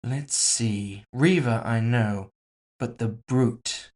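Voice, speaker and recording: A man's voice: mid-range voice, very slow, a little mellow. A man voicing a composed, villainous woman executive in audio fiction, casually polite, mocking and condescending. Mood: smug, controlled. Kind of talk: audio fiction character dialogue.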